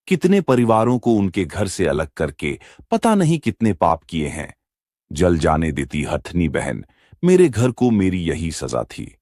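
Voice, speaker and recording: Man voicing a very deep, dramatic cartoon bear. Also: darkish tone, no echo, studio quality, exaggerated voice. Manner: dramatic, lamenting. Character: male bear moneylender, scheming yet remorseful. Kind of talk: Hindi animated moral story dialogue.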